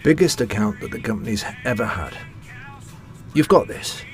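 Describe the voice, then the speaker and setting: A man's voice: lowish voice, expressive, moderate pace, faint music behind. A man playing a joking, loving middle-aged family dad in an audio drama. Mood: nervous, psyching up.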